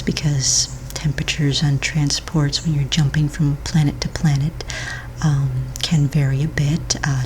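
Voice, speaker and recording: Casting: woman, low soft whispery voice, patient and polite office manager for ASMR roleplay, with background noise. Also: poor audio. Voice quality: soft, breathy, mostly whispered. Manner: gentle, polite, reassuring clerical patter. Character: courteous, patient female office manager registering pets.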